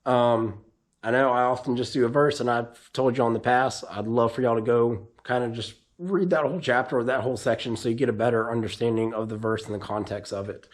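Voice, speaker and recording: A man, hoarse and losing his voice, giving a casual, rambling vlog update as a drag racer. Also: medium pitch. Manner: rambling, with frequent ums and restarts.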